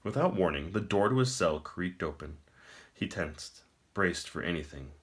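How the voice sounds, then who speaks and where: A man reading a fantasy novel aloud in a measured, deliberate storytelling manner with emphatic phrasing. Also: low-pitched, animated, breathy edge. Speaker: audiobook-style reader. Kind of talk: scripted dramatic narration of a fantasy novel.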